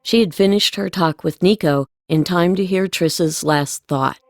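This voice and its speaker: A woman's voice: on the low side, measured pace, dry recording. A woman reading scripted storybook narration as an audiobook narrator.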